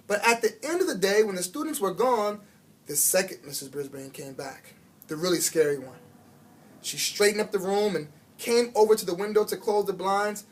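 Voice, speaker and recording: A man reading a children's book aloud as an expressive storyteller, with dramatic emphasis and drawn-out words. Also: high voice. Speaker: guest reader of a children's book.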